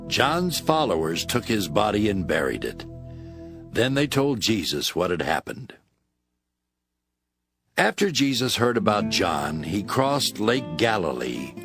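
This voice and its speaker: A man narrating scripture as a scripted, dramatic story in a measured storytelling manner. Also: lowish voice. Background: instrumental music.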